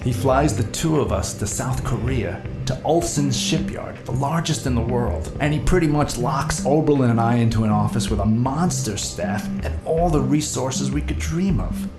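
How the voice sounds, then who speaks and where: A man's voice: medium pitch, expressive, normal speed, few pauses, roomy sound, over loud music, close to an everyday voice. An actor playing a rugged middle-aged treasure hunter and boat captain in an audio drama, telling his story in a casual, reflective way. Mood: proud, dreamy. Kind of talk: naturalistic full-cast audio drama acting.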